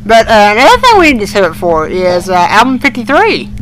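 High, bright-voiced male podcaster. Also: huge pitch swings, a little fast, a little hiss or hum, low-quality recording. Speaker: podcast co-host. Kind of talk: casual fan podcast chat.

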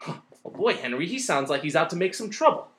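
Guy doing naive eager young fella, radio play, high voice, all over the place. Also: very animated, brisk, fairly bright tone. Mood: uneasy. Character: naive, eager young male stage performer. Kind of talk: old-time radio play acting.

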